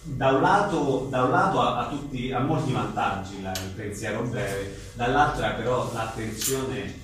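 A man interviewing a guest live, phrasing his questions in a conversational, tentative, halting way. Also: medium pitch, fairly bright tone. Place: echoey venue at a live book presentation. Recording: very echoey room, distant mic, faint noise.

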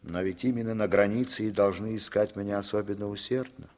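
An actor playing a hunted German deserter. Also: male voice, on the low side, fairly quick, natural voice. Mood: pleading. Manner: tense, hushed, dropping to whispers. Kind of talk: 1950s radio drama acting.

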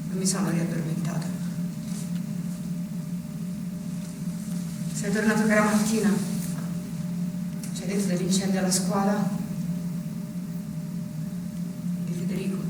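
A woman's voice: on the low side, close to an everyday voice. An actress playing a fearful, defensive young woman clinging to denial, in theatrical stage drama. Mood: withdrawn, numb. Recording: very echoey, noisy distant stage recording.

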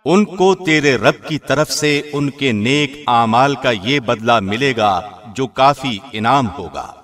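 Male reader, medium pitch, formal scripted delivery, measured enunciation, radio-host style. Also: animated, a bit bright.

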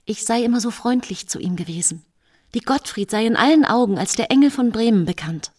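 Husky, despairing accused woman. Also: on the high side, lively intonation, fairly quick, a little mellow, distant mic. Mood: anxious. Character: despairing, pleading accused woman, middle-aged. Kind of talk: radio drama inner monologue.

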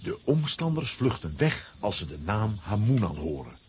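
A man narrating a children's adventure audio play as an expressive storyteller, with a rough, husky voice. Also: lowish voice, moderate pace, slight background noise. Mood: ominous.

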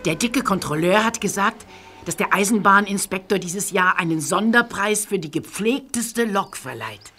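A woman's voice: mid-range voice, expressive, normal speed, bright, forceful tone, faint music behind. An actress playing a chatty, helpful young female steam engine in a children's audio drama.

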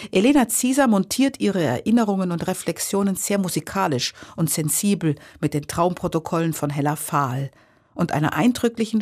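Husky, lowish-voiced woman presenter. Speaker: radio presenter. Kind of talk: scripted introduction to a radio drama.

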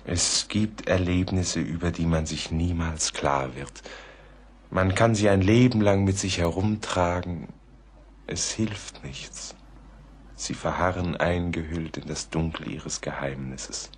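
A deep, brooding husky writer. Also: male voice, fairly even intonation, exaggerated voice. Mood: brooding, reflective. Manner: brooding, deliberate, literary. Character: reflective, melancholy male writer recounting a mystery. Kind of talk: dramatic radio play narration.

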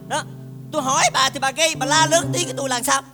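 A man's voice: high voice, lively intonation, a little fast, creaky, long reverb tail, over music. A man with a southern Vietnamese accent acting a suspicious, blustering husband in a comic domestic drama, exclamatory and folksy. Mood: angry accusing. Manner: exclamatory, folksy, muttering to himself then scolding. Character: suspicious, blustering husband bickering with his gambler wife. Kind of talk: comedic stage-style domestic drama acting.

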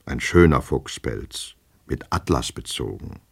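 A man's voice: a bass voice, expressive, unhurried, creaky, husky, full character voice. A man voicing a deferential, knowing manservant in an audiobook, plodding along and addressing his master at every turn. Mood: servile, knowing. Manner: deferential, repeating "sir", plodding. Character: servile male servant, knowing and long-winded. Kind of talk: dramatic audiobook character reading.

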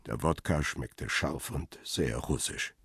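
A man's voice: very deep voice, slow pace, creaky, a bit bright, husky, no echo, studio quality, heavily acted. An actor playing a world-weary middle-aged photographer, telling his own story soberly and laconically in a radio crime drama. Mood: sober, observant. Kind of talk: first-person radio crime drama narration.

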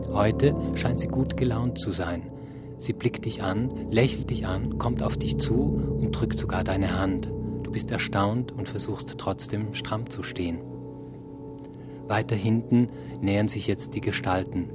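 A man performing radio play narration, speaking to the listener in short, descriptive present-tense phrases. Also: fairly low pitch. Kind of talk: second-person storytelling in a radio drama. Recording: loud music under the voice. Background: loud music.

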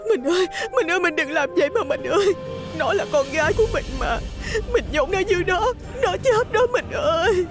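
A woman acting out a meek, anguished wife and mother from an audio drama. Her high, swooping voice pleads tearfully, with music behind it. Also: animated, brisk, crowd noise. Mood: tearful pleading. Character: desperate, submissive mother begging her husband for mercy.

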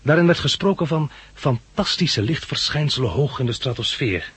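Husky, wry male radio-play narrator. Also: medium pitch, expressive, normal speed, a bit bright, dry recording. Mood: reflective narration. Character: bandaged male hospital patient and narrator, wry, sardonic. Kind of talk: 1960s radio drama, narration and dialogue.

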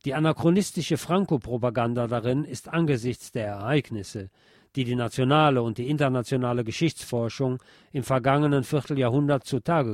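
Male narrator, mid-pitched, scripted reading, measured and formal delivery, very dry close sound. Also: darkish tone, no echo. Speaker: narrator reading a history text.

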